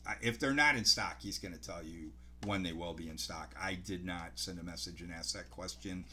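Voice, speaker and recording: A man in his sixties giving casual product news to camera as a game store host, in a halting, rambling way, often correcting himself. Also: pushed and bright. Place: small home office by a window, indoors. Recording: clearly noisy home recording, low hum.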